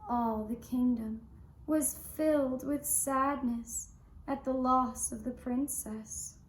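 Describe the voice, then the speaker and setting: Very slow-talking woman storyteller. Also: high-pitched, steady tone. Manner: deliberate, with long pauses between phrases. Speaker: puppet show storyteller. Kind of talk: children's fairy-tale narration. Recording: roomy, echoey sound.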